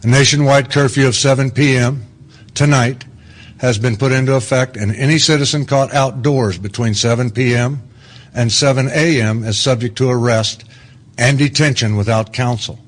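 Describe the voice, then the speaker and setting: A man plays a stern government secretary in a radio drama. The voice is mid-pitched and official, laying out orders in a firm, measured way. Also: fairly even intonation, lightly acted. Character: stern Defense Secretary issuing martial law orders. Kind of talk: radio drama press briefing acting.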